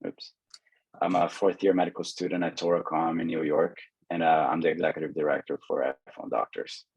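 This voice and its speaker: Very deep, husky man presenting. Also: little pitch movement, fairly quick, a little mellow, no room sound, dull audio. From Brazil. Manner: explanatory, with frequent restarts and fillers. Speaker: medical student and mentor presenting. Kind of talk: webinar presentation.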